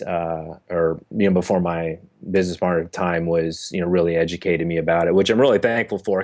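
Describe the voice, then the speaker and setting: Brief: very low-voiced young guy from Northern California, interview guest, rambling and halting. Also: a little mellow. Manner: halting, full of "you know" fillers and restarts. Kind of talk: casual interview conversation.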